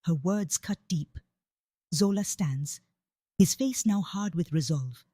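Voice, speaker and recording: A woman's voice: fairly low pitch, slow, warm tone, no echo, studio quality. A woman reading a scripted dramatic story as a storyteller.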